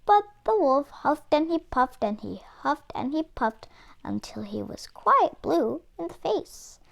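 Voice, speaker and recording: A woman reading a children's story aloud as an expressive narrator. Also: high-pitched, a little slow, creaky. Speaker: children's book reader.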